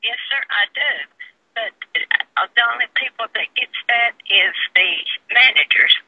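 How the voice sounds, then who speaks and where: A woman's voice: a little high, animated, unhurried, husky. A woman working in a store, explaining things plainly on a customer service phone call. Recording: tinny noisy phone line.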